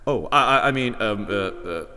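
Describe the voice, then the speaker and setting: An actor playing a stammering, avuncular fraud of a wizard in a fantasy audio drama. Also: male voice, on the low side, animated, moderate pace, pushed and bright. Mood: nervous bluffing. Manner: stammering, hesitant, chuckling bluffer. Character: bumbling, evasive fraudulent wizard, avuncular showman. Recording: reverberant hall, music underneath.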